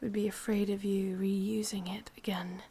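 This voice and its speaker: A woman with an English accent playing an ancient, possessive, sadistic witch in a whispered ASMR roleplay, slow, breathy and softly menacing. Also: mid-range voice, little pitch movement, a little hiss or hum, natural voice. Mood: coaxing, controlling.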